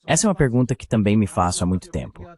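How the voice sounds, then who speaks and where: Guy with a fairly low voice, dubbed interview answers on politics. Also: very animated, rapid-fire, a little mellow. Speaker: translated voice-over of a panel guest.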